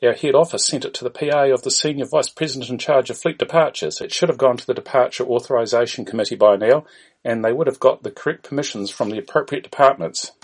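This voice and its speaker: A man's voice: mid-range voice, fast, slightly rough, pitched above the performer's own voice. A man voicing an apologetic, officious fleet bureaucrat who is defensive in a comedy sketch. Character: apologetic bureaucratic Starfleet official making excuses.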